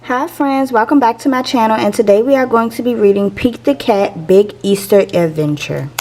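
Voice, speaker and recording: A woman reading a children's picture book aloud in an expressive, storytelling way for young listeners. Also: on the high side, moderate pace. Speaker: teacher reading picture books for a channel.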